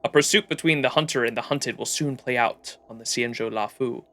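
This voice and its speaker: A man doing casual livestream commentary on a game, excitable and rambling as he chats. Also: mid-range voice, a little fast. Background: game music.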